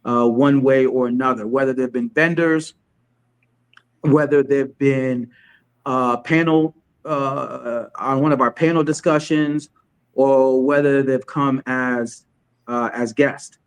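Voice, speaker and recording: A man's voice: medium pitch, fairly even intonation, clear, resonant tone. Chatty man from Chad hosting a livestream. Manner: halting, many restarts while setting up. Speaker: host. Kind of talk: casual livestream interview hosting.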